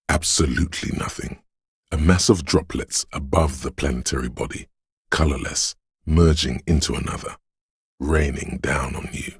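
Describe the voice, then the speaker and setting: A man voicing a brooding, omniscient inner voice of a city in a video game, narrating gravely and poetically in a measured, husky, gravelly voice. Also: extremely low pitch, no room sound, studio quality, full character voice. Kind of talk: slow literary second-person game narration.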